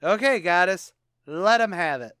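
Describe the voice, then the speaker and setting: Man acting a high-pitched, blustery tavern bluffer. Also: animated, a little slow, bright, forceful tone, clear, resonant tone, dry recording, clean studio sound, exaggerated voice. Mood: flustered. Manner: boastful, stammering, ungrammatical. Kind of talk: 1940s radio comedy acting.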